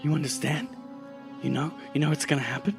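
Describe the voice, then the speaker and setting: A wild street prophet of doom. The voice is pushed high and frantic, tumbling over repeated pleas, with faint music behind it. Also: male voice, level tone, fast, gravelly. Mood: manic, eager. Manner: frantic, repetitive, pleading. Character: wild-eyed bearded crazy doomsday street ranter.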